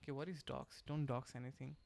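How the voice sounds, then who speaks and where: Gravelly Bangladeshi man chatting on stream. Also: mid-range voice, quick pace. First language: Bengali. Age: young adult. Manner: relaxed, thinking aloud, reading chat and answering. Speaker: streamer and developer. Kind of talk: casual live-stream chat while coding.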